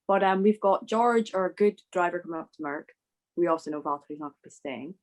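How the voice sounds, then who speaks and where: A woman's voice: on the low side, brisk. A woman chatting casually as a fan on a podcast panel, informal and emphatic, often saying "like".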